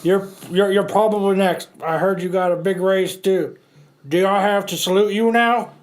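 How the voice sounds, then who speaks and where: A man's voice: high-pitched, steady tone, moderate pace, close to an everyday voice. A man playing a chatty henchman coworker in a villain army, casual and joking, in an amateur comic-book reading.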